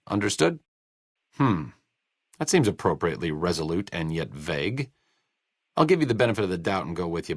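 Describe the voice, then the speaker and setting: Video game companion: a bookish, sarcastic male doctor, idealist and self-deprecating, very varied pitch, no room sound. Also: breathy edge, no echo, exaggerated voice. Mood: cautious, wry. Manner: dry, sarcastic, wordy delivery.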